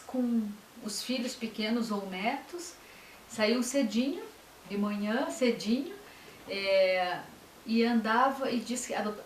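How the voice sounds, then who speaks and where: A woman gives a talk on local history, speaking in short phrases with many fillers. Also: fairly high pitch. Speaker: author giving a talk. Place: lecture room. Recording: echoey room, mic from far off, faint hiss.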